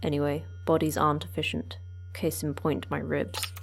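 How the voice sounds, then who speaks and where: An actress playing the terse, deadpan manager of a secret research facility in an audio drama. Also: female voice, low-pitched, normal speed, creaky, soft background music. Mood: brisk, curt. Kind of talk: audio fiction acting.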